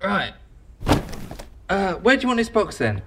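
Lively, teasing British mate. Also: male voice, high-pitched, measured pace, over loud music. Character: teasing, chirpy best mate, mock-encouraging. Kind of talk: comedic audio drama acting.

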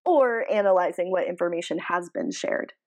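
A woman co-hosting a casual podcast, talking through analysis in a measured, explanatory way with careful qualifications. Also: fairly low pitch, expressive, a little mellow. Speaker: podcast co-host.

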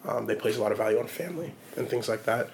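A man in his twenties from Oregon, answering interview questions in a relaxed, hesitant way with frequent ums and likes. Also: medium pitch, rapid-fire, creaky, a little hiss or hum. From Portland, Oregon, USA. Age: 20s.

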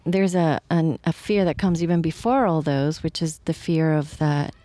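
A woman's voice: low-pitched, normal speed, dark tone, no echo. A woman from Ecuador co-hosting a podcast, speaking thoughtfully with false starts. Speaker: podcast co-host. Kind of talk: casual podcast conversation.

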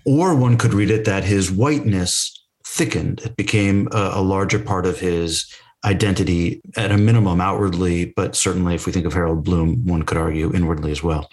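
Deep-voiced man asking measured questions. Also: steady tone, a little mellow. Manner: measured, reads quotes then asks. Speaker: podcast interviewer. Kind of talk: prepared interview questions.

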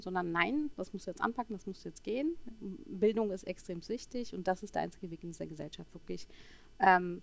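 Halting woman in her forties. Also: fairly low pitch, creaky, a bit bright. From Berlin, Germany. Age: 40s. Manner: halting, searching for words. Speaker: podcast guest. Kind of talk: personal interview storytelling.

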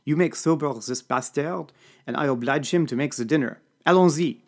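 A man voicing an excitable older Belgian butler with a French accent who speaks broken English and hisses when angry. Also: on the high side, normal speed, voice pushed up. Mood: vengeful. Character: panicky older male Belgian butler, fiery.

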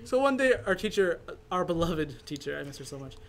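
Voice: medium pitch, sing-song, a little fast. Podcast host in their twenties, slightly noisy recording. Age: 20s. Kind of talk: casual chat and storytelling.

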